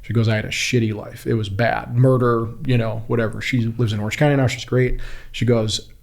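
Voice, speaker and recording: A man's voice: medium pitch, brisk, vocal fry. A man telling anecdotes casually as an interview guest, in informal, slangy phrasing and acting out what people said. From Kuwait. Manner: animated retelling with acted-out quotes, informal slangy phrasing. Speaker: podcast guest, body-language instructor and former US Marine.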